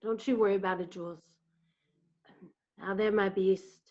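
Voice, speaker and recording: A woman's voice: mid-range voice, steady tone, moderate pace, darkish tone, lightly acted. A woman in a play reading over video call, playing a tender, folksy caretaker who soothes and coaxes. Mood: soothing, concerned. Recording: dull video-call audio.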